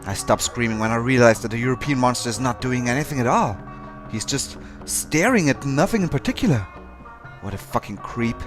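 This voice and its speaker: A man's voice: medium pitch, animated, a little fast, music in the background, lightly acted. A man voicing an angsty, average teenage schoolboy, narrating a visual novel parody with mock-dramatic earnestness. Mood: dismayed.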